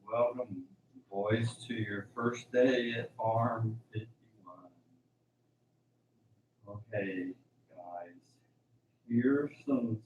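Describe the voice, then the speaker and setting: Dull roomy recording, actor as apathetic male farm manager, extremely slow, halting, mumbled, radio play. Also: fairly low pitch, a little mellow. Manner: halting, trailing off, mumbling, unenthusiastic. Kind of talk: amateur radio drama acting. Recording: dull, roomy, distant, faint noise.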